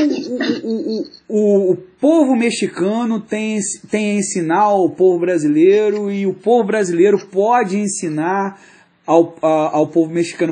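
A man's voice: sits high, warm tone, husky. A male podcast co-host, asking a guest a long question, full of hesitations and fillers. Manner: halting, lots of fillers and restarts. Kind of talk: interviewing a guest.

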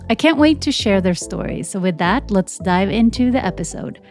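A woman's voice: medium pitch, animated, moderate pace, darkish tone. A woman hosting a music podcast, reading an upbeat scripted intro and then chatting casually with her guests. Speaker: podcast host.

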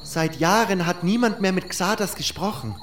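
A man's voice: sits high, moderate pace, noisy background, voice pushed up, natural voice. A man voicing an anxious young male mage in an audiobook, hesitant and pleading with his friend. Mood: worried, agitated. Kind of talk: audiobook character voice.

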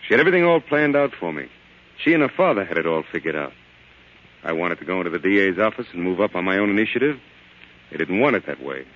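A man's voice: low voice, very animated, quick pace. A man playing a weary, troubled husband in a 1940s American radio drama, speaking in a subdued, hesitant, polite way. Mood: rueful. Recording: muffled old-time radio recording.

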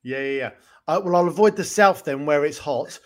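Enthusiastic high-voiced man host. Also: a little fast. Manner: enthusiastic, rambling, with laughs. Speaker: podcast host. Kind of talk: conversational interviewing.

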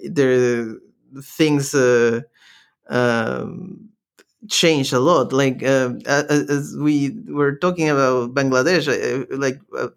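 A man hosting a casual interview in a halting way, repeating words as he forms his thoughts. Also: medium pitch, gravelly. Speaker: interview host.

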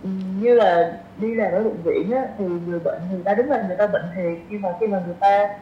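A woman's voice: medium pitch, fast. A woman in her twenties with a southern Vietnamese accent, chatting casually as a podcast guest, hesitant and thinking aloud. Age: 20s. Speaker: podcast guest, young doctor. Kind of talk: casual chat. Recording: roomy echo, dull sound, light noise.

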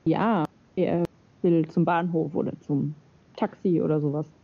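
A woman in a casual group talk, relaxed and a little hesitant. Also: sits low, a little slow, vocal fry, slightly rough, dull audio. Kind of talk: casual chat.

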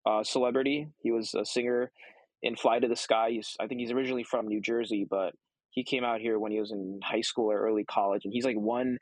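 A man's voice: fairly low pitch, flat delivery, fairly quick, no echo, rough recording. A young man answering interview questions casually, with many ums and restarts. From United States. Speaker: guest.

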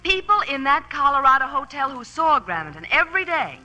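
An actress playing a skeptical, teasing newspaper reporter with an arch manner, in old-time radio drama style. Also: female voice, high voice, sing-song, brisk, pushed and bright, full character voice. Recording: old radio recording, faint music.

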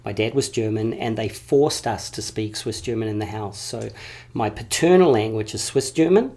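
A man's voice: lowish voice, moderate pace, darkish tone. Earnest man talking in circles. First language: English. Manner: earnest, repeats phrases while building a point. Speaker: guest. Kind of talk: reflective conversational interview.

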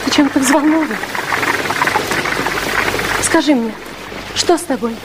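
A girlish, high-pitched young sweetheart, confides anxiously and openly. The acting is that of 1960s radio theatre, with clear noise over the recording. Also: slow pace. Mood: eager, confiding. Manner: girlish, confiding, emotionally open. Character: anxious, candid nineteen-year-old sweetheart from a professional family. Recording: noisy 1960s radio recording, rain-like hiss.

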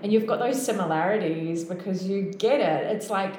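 A woman's voice: mid-range voice, moderate pace, a little mellow. A woman with a New Zealand accent hosting a podcast, chatting casually and finishing her guest's sentences. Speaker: podcast host. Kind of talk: casual interview chat. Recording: echoey room.

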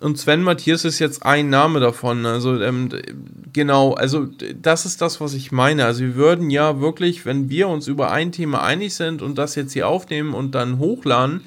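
A man's voice: medium pitch, fairly bright tone. A man chatting casually as a podcast co-host, in a rambling, colloquial and enthusiastic way.

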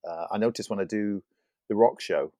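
Deep-voiced man hosting, playfully teasing. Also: fairly quick, warm tone, no echo, studio quality. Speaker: podcast host. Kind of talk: casual podcast chat.

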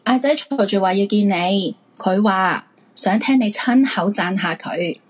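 A woman's voice: medium pitch, measured pace, breathy edge, low-quality recording. An actress playing a nagging but caring middle-aged wife and mother in a live radio drama, with a Hong Kong Cantonese accent. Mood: caring, insistent.

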